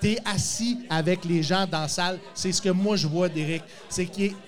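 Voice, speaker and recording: A man's voice: high-pitched, steady tone, moderate pace. A man with a Quebec French accent hosting a podcast, asking questions and complimenting his guest. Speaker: podcast host. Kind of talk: casual interview.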